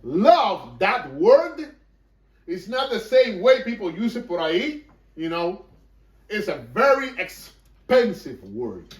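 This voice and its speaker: A man's voice: sits high, sing-song, slow pace. A man with a Puerto Rican accent preaching fervently to a congregation as pastor, mixing in English. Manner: fervent, emphatic preaching that slips between Spanish and English. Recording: echoey room, mic at a distance.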